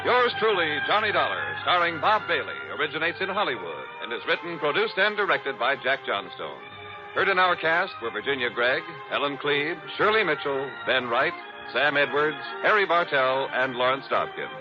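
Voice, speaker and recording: A man's voice: a little high, very animated, normal speed. A man announcing scripted credits in a polished 1950s radio style. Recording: muffled vintage radio broadcast, music behind.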